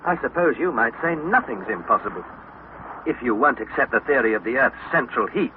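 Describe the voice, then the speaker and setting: An actor playing an earnest, wondering young nephew on an expedition, in crisp 1960s radio drama style. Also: male voice, mid-range voice, animated, brisk, lightly acted. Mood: curious, amazed. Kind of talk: 1960s radio drama acting with scripted narration. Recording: tinny, hissy vintage radio broadcast.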